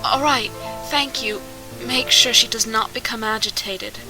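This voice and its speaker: A woman's voice: fairly high pitch, unhurried, over music, lightly acted, poor audio. A woman playing a caring, concerned school nurse in an audio drama. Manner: concerned, soothing.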